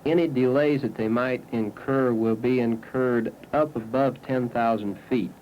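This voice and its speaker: A man's voice: mid-range voice, fairly even intonation, measured pace. American man, matter-of-fact air traffic controller explaining procedure, dull vintage film sound with faint noise. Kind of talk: explanation to camera.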